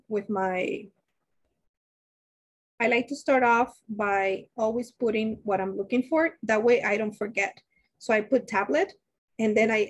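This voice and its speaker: Nursing teacher lady on webcam, kinda high pitched, thinks out loud and asks the class stuff. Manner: thinks aloud with many restarts, asks class questions. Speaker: nursing instructor. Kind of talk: live online math lecture. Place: indoors, webcam beside shared slides.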